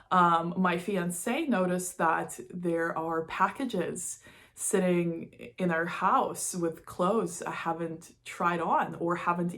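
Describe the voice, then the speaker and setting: A woman's voice: lowish voice, a bit bright. A woman from Russia talking casually and candidly to her viewers, stressing key words in a confessional vlog style. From Moscow, Russia. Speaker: vlogger. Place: studio, indoors.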